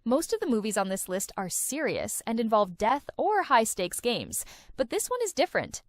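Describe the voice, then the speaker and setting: Lively high-voiced woman narrating. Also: normal speed. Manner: enthusiastic recommending tone. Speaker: video host. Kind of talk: scripted list-video narration.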